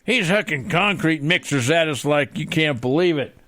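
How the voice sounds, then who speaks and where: A man playing a combative, crabby old west handyman with a gravelly voice in a comedic radio play. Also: a little high, lively intonation, normal speed, sharp tone, no echo. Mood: blustering.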